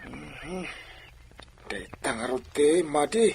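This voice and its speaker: An older father in a radio drama, high-pitched and grumbling. He hums and hesitates, reluctant to act, over noticeable background noise. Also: lively intonation, takes it slowly, vocal fry, darkish tone, full character voice. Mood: hesitant, grumbling.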